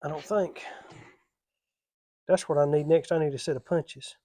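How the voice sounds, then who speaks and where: A man who mutters quietly to himself while he works in a workshop, away from the camera. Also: fairly high pitch, fairly even intonation, normal speed.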